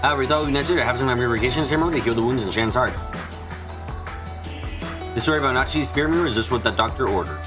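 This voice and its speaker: Male, very rapid reader, game script narration, stumbles over lines, clear music behind. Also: mid-range voice. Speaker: fan dubber doing a let's play stream. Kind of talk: reading visual novel script aloud.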